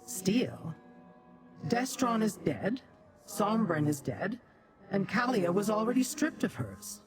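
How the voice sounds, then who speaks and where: A woman's voice: medium pitch, sing-song, slow. An actress playing a proud, cold female demon in a video game, speaking in a haughty, condescending, formal way. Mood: indignant, cool. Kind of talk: video game character dialogue. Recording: music behind the voice.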